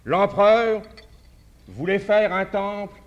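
Man, formal congress speech, fairly high voice, measured, restarts phrases. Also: lo-fi sound. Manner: measured, tells a parable with restarts. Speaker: conference speaker.

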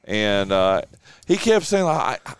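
A man hosting a casual morning radio show, rambling with fillers, his voice a bit rough and deeper from yelling the night before. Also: sing-song, slow pace, no room sound. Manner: rambling, chatty, lots of uh fillers. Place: small home radio studio. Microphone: studio mic with headphones.

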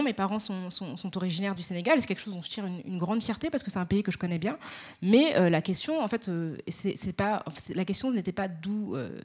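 A young woman with a pronounced Parisian accent, answering interview questions in a measured, articulate way with frequent hesitations. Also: mid-range voice. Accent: Parisian French. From Paris, France. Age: young adult.

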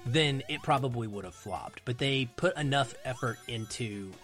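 A man's voice: medium pitch, moderate pace, fairly bright tone, soft background music. A man talking casually and enthusiastically about films as a podcast guest. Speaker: podcast guest, film critic. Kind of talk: casual film discussion.

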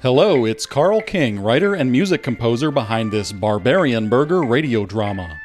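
A man's voice: mid-range voice, lively intonation, normal speed, darkish tone, soft background music. A man, the writer and composer, announcing the credits of a radio drama from a script.

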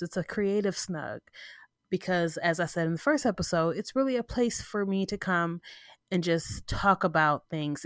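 A congested woman talks through a solo podcast episode in a loose, informal way, sniffling now and then. Her voice sits a little low, and she often stops to check in with "right?" The close mic sounds dry, with no room around it. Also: dry recording. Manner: rambling, informal, frequent "right?" asides and self-restarts. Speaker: podcast host. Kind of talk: casual solo podcast talk.

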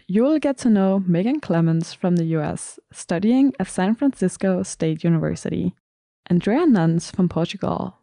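A woman's voice: medium pitch, creaky, warm tone, no room sound, clean studio sound. A young woman hosting a podcast in a casual, conversational way. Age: young adult. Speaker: podcast host and moderator.

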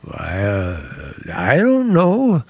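A man's voice: lowish voice, wildly swooping pitch, very slow, gravelly, darkish tone, slightly rough, no echo, clean studio sound, heavily acted. A man playing a charming but bitter, secretive uncle in an American radio drama, with a hesitant, brooding manner. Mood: uneasy. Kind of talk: mid-century American radio drama acting.

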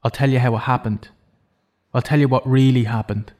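A man's voice: mid-range voice, fairly even intonation, a little mellow, natural voice. Intense, remorseful male prisoner, played by a man. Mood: bargaining, insistent. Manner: insistent, deliberate, persuasive. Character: remorseful imprisoned killer, intense and self-loathing. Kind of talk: audio drama acting.

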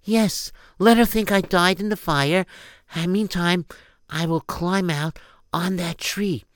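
A man's voice: high voice, slow pace, dry recording. Guy doing a young woman escaping, earnest and prayerful, dead dry mic. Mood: eager.

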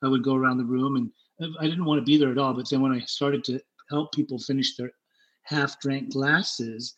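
A middle-aged man speaking at an ordinary, mid-range pitch, telling his life story to an interviewer. He stumbles and repeats words as he goes, then livens up when he acts out old moments. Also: highs cut off. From born in Germany, raised in Utah. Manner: halting, repeats words often, animated when retelling scenes. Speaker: interview guest. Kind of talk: candid conversational storytelling.

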